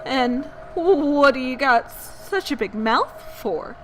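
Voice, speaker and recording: A woman's voice: sits high, animated, slow, fairly bright tone, faint music behind, pitched above the performer's own voice, heavily acted, poor audio. A woman voicing a frightened, naive young boy in a scary storybook tale. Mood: scared, curious.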